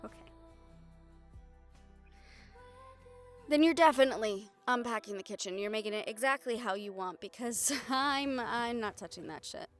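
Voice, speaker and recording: A young woman playing an affectionate, teasing girlfriend in an intimate audio roleplay, speaking in a halting, tender and wry way. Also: on the high side, soft background music, natural voice. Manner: halting, tender, wryly teasing, casually sweary.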